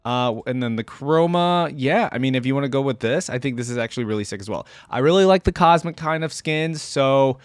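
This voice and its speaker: Man with animated, swinging pitch, reacting casually. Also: fairly quick, hardly any pauses, clean tone, no room sound, clean studio sound. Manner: mulls aloud, informal, opinionated. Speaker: reaction video host. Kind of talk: casual unscripted reaction commentary.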